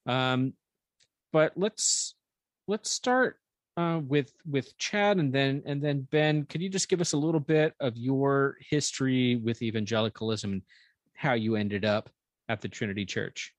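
A man's voice: medium pitch, measured pace, dry recording. A man hosting a podcast, asking questions conversationally with many fillers and restarts. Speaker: podcast host. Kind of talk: conversational interviewing.

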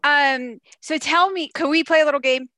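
A woman's voice: high voice, moderate pace, bright, forceful tone, a little hiss or hum. A woman hosting an interview podcast, talking in a chatty, informal way. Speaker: podcast host.

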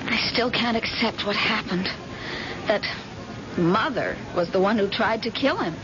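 An actress playing an anxious, tender grown daughter, earnest and pleading, in an old-time radio drama. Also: female voice, medium pitch, unhurried, lightly acted. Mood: shaken, subdued. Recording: dull, noisy old radio recording.

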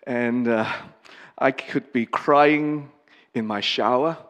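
A man from Hong Kong in midlife preaches from the pulpit, speaking earnestly and haltingly, often pausing and starting over. His voice sits at an ordinary male pitch. It rings out with a long tail of reverb in a large church hall. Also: fairly bright tone, dull audio. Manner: earnest and halting, with many pauses and restarts. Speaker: pastor. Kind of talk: personal testimony sermon.

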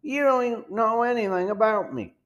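A man's voice: high-pitched, moderate pace, fairly bright tone, highs cut off, voice pushed up. A man voicing a sour, threatening smuggler chief in an audiobook, pressing and sneering. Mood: threatening, uneasy. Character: sour, menacing male smuggler gang chief. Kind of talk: audiobook villain dialogue.

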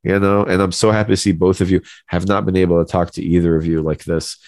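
A man's voice: sits low, flat delivery, fast talker, a little mellow, no echo, clean studio sound. A man in his thirties co-hosting a casual podcast, speaking informally and effusively to friends while sick, his voice not at its best. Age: 30s. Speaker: podcast co-host. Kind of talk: casual chat.

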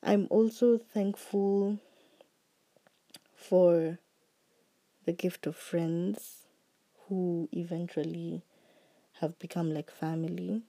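A woman hosting her own podcast, talking slowly and earnestly about what she is grateful for, her voice still recovering from being sick. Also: fairly low pitch, fairly even intonation. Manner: slow, heartfelt and earnest, with soft trailing phrases. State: recovering voice after illness. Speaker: podcast host. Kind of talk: reflective solo podcast monologue.

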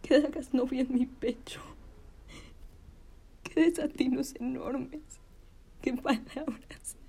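A woman performing a classical Spanish verse monologue as a wronged, defiant young village woman, declaiming slowly and with anguish in a breathy, husky voice. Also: high-pitched, dark tone, full character voice. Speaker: drama student actor.

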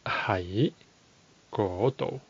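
A man teaching a podcast language lesson, speaking slowly and deliberately and repeating phrases for learners. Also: lowish voice, creaky, husky, lo-fi sound.

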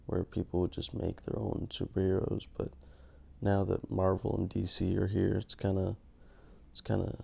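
A man hosting his podcast in a casual, rambling monologue, gravelly from just waking up, joking at his own expense. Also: deep voice, monotone, moderate pace, darkish tone. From Canada. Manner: rambling, self-mocking, many restarts. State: just woke up. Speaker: podcast host.

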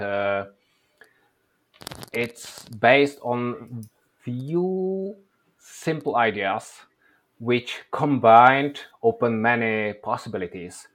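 A man from the Czech Republic with a Czech accent and Czech as his native language, answering interview questions in a measured, thoughtful way. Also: on the low side. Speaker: interview guest, workshop presenter.

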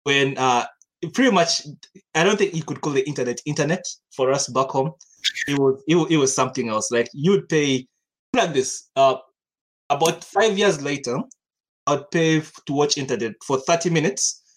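A man's voice: fairly high pitch, moderate pace, poor audio. A young man from Uganda, a studio co-founder, chatting casually on a podcast with many restarts and easy laughs.

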